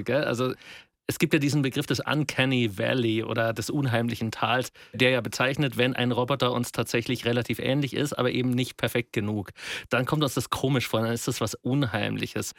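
A man speaks in a close, dry studio sound at an average pitch. He co-presents a relaxed discussion, explaining his points in everyday, colloquial language. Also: fairly quick, gravelly, no room sound.

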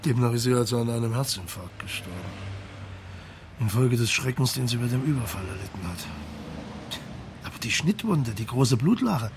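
An actor playing a pensive, sceptical police marshal in a radio crime drama, thoughtful and questioning. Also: male voice, medium pitch, animated, moderate pace, vocal fry, pauses often, slight background noise.

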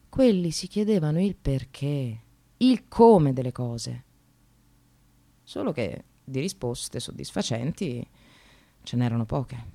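An actress playing a bitter, wry, educated receptionist in precarious work, delivering an expressive theatrical radio monologue. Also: female voice, sits low. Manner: sardonic, expressive, swearing, addressing the audience.

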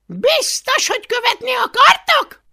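A man voicing a bold, boastful young Viking girl who shouts in a shrill, cocky way. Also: lively intonation, vocal fry, full character voice.

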